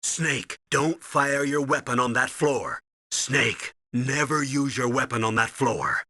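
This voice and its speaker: A middle-aged colonel in a video game, stern and urgent. His voice is husky and sharply forceful, giving orders with earnest emphasis, recorded very dry. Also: mid-range voice, fairly even intonation, slow, no echo, exaggerated voice. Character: stern, urgent middle-aged male military commander, anxious mentor.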